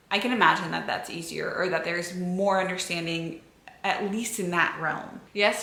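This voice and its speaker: A woman's voice: lowish voice, creaky, sharp tone. A young American woman chatting casually to camera, answering viewer questions in an open, filler-heavy way. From United States. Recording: echoey room.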